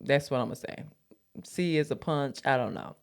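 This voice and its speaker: A woman's voice: rumbling low, normal speed, creaky. A woman in her thirties co-hosting a podcast, chatting casually and breaking into bits of songs. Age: 30s. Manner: playful, breaks into snatches of song. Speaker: podcast co-host. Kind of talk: casual chat.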